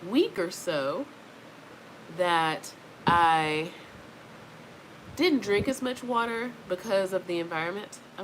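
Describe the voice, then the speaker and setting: A woman with a lowish, congested voice talks unhurriedly, in a loose, rambling vlog manner full of fillers. She is recorded at home, with a faint hum of fans behind her. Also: a bit bright, low-quality recording. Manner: rambling, chatty, frequent fillers. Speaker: wellness vlogger. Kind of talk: casual talking-to-camera vlog. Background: faint fan noise.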